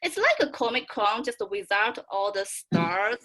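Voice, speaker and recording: A young woman with a Chinese accent chats on a video call in a medium-pitched voice. Her English is halting, with searching restarts, and her manner is excitable and giggly. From China. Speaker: student and fan club member. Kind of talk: casual chat on a video call.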